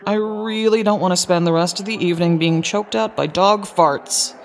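Troubled young woman, audio drama female actor: heavy vocal fry, reserved, halting naturalistic delivery. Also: on the low side, a little hiss or hum, natural voice. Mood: wry. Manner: hesitant, sighing, reserved.

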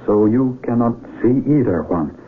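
An actor playing an astonished, earnest young mountaineer in a 1970s radio drama, hesitant and apologetic, on a narrow broadcast recording. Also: male voice, mid-range voice, expressive, a little slow, husky. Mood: puzzled, earnest. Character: earnest, astonished young male mountaineer, shaken avalanche survivor.

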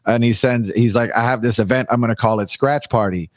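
A Canadian man with a raspy, worn voice from long nights of streaming. He tells his stories with animated enthusiasm at a mid pitch, recorded close and dry with no room around him. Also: fast, no echo. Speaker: guest DJ. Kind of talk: candid podcast conversation.

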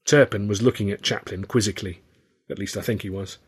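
A man's voice: fairly low pitch, fairly even intonation, brisk, breathy edge. A man reading a scripted first-person story in a wry, literary manner. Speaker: author and audiobook narrator.

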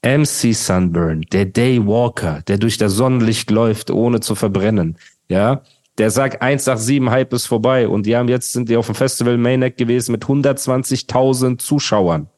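A man's voice: mid-range voice, moderate pace, a little mellow. A male podcast host ranting and mocking in casual, slangy chat.

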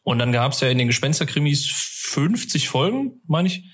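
A man's voice: fairly high pitch, sing-song, normal speed, a bit bright, breathy edge. Casual, rambling man in conversation. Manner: colloquial, rambling, often amused. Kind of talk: casual interview chat, telling personal anecdotes.

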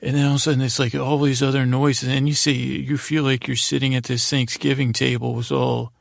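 Male podcast host for a rambling bedtime monologue: deeply creaky, dry close sound, halting delivery that keeps doubling back on itself. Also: little pitch movement, no echo. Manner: meandering, halting, frequent self-interruption.

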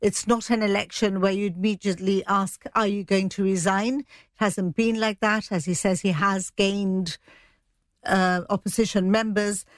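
A woman's voice: medium pitch, steady tone, moderate pace, no room sound. Matter-of-fact woman analyst, dry studio sound. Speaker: political commentator. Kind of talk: conversational political analysis.

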